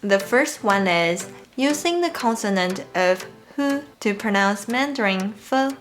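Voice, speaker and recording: A woman from Taiwan with a Taiwanese accent, giving a clearly explained, scripted lesson on pronunciation and slowing down to model example sounds. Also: mid-range voice, lively intonation, fairly bright tone, faint music behind. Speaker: Mandarin teacher.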